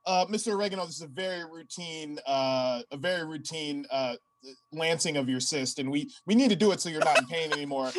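A man playing a flustered, polite doctor in improv comedy, speaking haltingly. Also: fairly high pitch, lightly acted, rough recording. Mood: uneasy, hesitant. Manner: hesitant, halting. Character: flustered, polite doctor pleading with a difficult patient.

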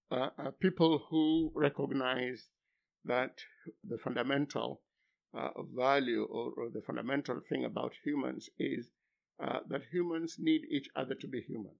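Older man, philosophy professor, first language Luo, gravelly voice, hesitant then emphatic, muffled lo-fi sound. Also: medium pitch. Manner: halting, hesitant, then emphatic rhetorical questions. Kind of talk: academic interview. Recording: dull lo-fi recording.

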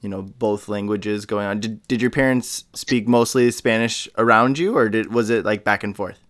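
A man's voice: on the low side, steady tone, normal speed, fairly bright tone, no room sound, studio quality. A man hosting a podcast, asking rambling questions with many fillers. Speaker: podcast host. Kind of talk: casual interview chat.

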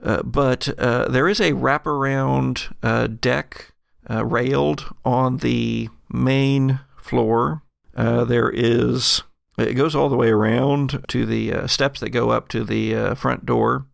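A man narrating an improvised tabletop game as game master, halting often to find his words. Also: medium pitch, fairly even intonation, creaky, no echo, studio quality.

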